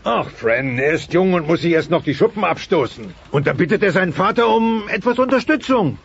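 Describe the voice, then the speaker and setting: An actor playing a blustering middle-aged dinosaur father with a creaky voice in an audio drama, hesitant and doting by turns. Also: high voice, expressive, brisk. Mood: placating. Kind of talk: German children's audio drama acting. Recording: dull, slightly noisy cassette transfer.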